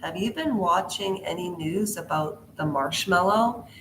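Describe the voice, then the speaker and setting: A woman's voice: on the low side, measured pace, background noise. A woman vlogging pop culture commentary in a rambling, casual way, jumping between tangents and firing questions at her viewers. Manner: chatty, jumps between tangents, quizzes the viewer. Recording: echoey room.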